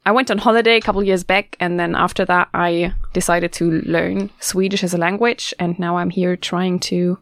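A woman's voice: lowish voice, fairly even intonation, normal speed, few pauses, dry recording. Young woman from Germany hosting student radio, chatty and laughing, dry close studio mic. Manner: chatty, laughs often, restarts sentences. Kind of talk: casual radio chat.

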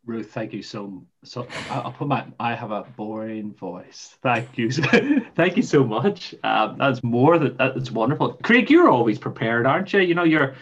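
A man with a Northern Irish accent hosting a podcast, with lively, laughing asides. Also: medium pitch, measured pace, hardly any pauses, slightly rough, highs cut off. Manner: warm, laughing, animated asides. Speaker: podcast host. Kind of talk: casual podcast chat.

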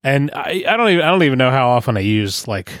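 Dude cohosting a podcast, middle pitch, jokey and loose, trails off a lot, dry sound. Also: male voice, very animated, fairly bright tone, dry recording. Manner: joking, quick asides, trailing off. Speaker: podcast co-host. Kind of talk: casual banter.

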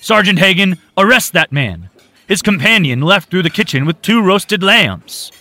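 A man voicing a blustering, plump male restaurant owner who shouts indignantly. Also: sits high, big pitch swings, normal speed, dry recording, pitched above the performer's own voice, heavily acted. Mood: angry.

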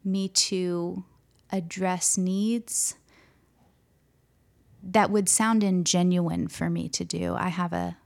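Young woman, pastor, soft gentle voice, unhurried, slight vocal fry, hesitant ums. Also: fairly low pitch, steady tone. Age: young adult. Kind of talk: interview conversation.

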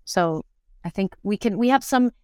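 A woman's voice: medium pitch, expressive, moderate pace, a little mellow, clear, resonant tone, no room sound, clean studio sound. A woman talking casually and reflectively as an interview guest, often thinking aloud in broken-off phrases. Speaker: interview guest, stage actor.

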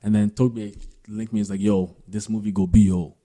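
A young filmmaker chats easily about how he started out, with plenty of fillers and laughs. His voice is on the low side. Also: fairly quick. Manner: relaxed, many fillers like "like" and "you know", laughs. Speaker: filmmaker guest. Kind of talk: casual conversation.

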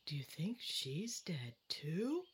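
A woman playing a nervous wife in a hushed, breathy voice in an audio drama. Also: low voice, sing-song, slow, exaggerated voice. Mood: hushed, worried.

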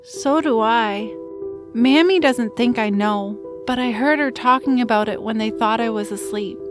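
A little troll girl, voiced by a woman, talks in a high, childlike voice full of innocent curiosity. She is tender and wondering, in family audio drama style with music underneath. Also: unhurried, close to an everyday voice. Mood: sad, tender. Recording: music behind the voice.

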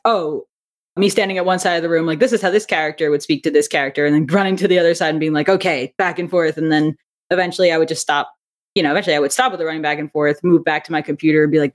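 Woman chatting casually as an interview guest. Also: low-pitched. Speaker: interview guest, audio drama writer and director.

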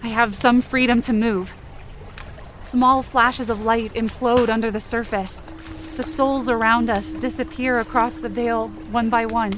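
A woman's voice: on the high side, steady tone, unhurried, background noise. A woman giving intense, theatrical first-person narration for a scripted fiction podcast, in short dramatic sentences. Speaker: writer and performer of fiction podcast.

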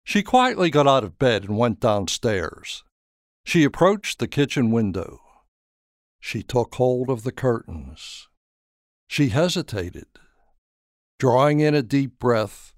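Slow, expressive male storyteller. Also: medium pitch, no room sound, studio quality. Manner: measured, dramatic storytelling. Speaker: author and narrator. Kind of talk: scripted story narration.

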